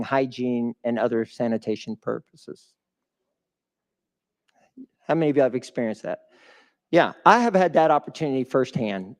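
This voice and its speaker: A man speaks live to an audience as a guest speaker at a charity event. His delivery is emphatic and motivational, with questions put directly to the listeners. His voice sits at a medium pitch. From a developing country.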